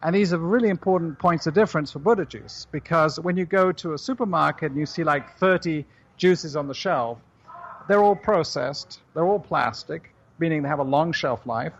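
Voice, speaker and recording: A middle-aged man with a British accent, a company chief answering interview questions on the radio in a measured way. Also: a little high, muffled recording. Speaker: company CEO guest.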